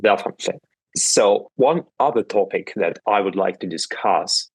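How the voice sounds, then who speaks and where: Deep husky-voiced eager male host. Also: normal speed, dry recording, low-quality recording. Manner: eager, repeats basically and definitely. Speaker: podcast host. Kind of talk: interview questions.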